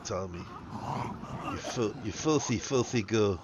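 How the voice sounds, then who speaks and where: A man's voice: lowish voice, measured pace. A man from South Africa with a South African accent, chatting casually and crudely to his live-stream audience while gaming. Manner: rambling and crude, swears freely. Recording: dull lo-fi with background noise.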